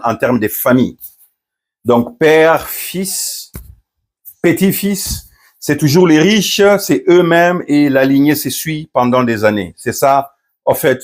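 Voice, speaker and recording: A middle-aged man from Congo speaks slowly and deliberately, at an ordinary middle pitch. He pauses often on fillers and restarts his phrases as he recounts a story and draws a point from it. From Democratic Republic of the Congo. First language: Swahili. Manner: hesitant, many fillers and restarts. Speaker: pastor. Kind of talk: storytelling commentary.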